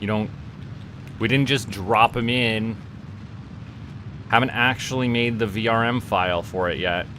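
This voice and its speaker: Man from Canada, game streamer, low voice, rambling casual chat, audible background noise. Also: a little slow, long pauses, bright, forceful tone. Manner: rambling, chatty, talks to viewers, stumbles over repeated words. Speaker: VTuber streamer. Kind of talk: casual gaming livestream chat.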